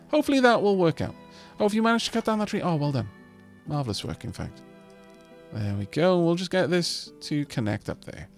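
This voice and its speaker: A man's voice: medium pitch, gravelly. A man whose first language is Welsh, chatting through a game and talking to his settlers. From Wales. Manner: chatty, talks to his settlers, self-deprecating. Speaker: let's play host. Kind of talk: casual gameplay commentary.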